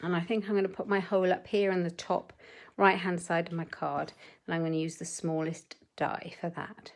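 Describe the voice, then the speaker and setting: A woman demonstrating card-making in a chatty, step-by-step way, her voice a little croaky. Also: fairly low pitch, little pitch movement. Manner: chatty, measured step-by-step instructions. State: slightly croaky voice. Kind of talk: live crafting tutorial.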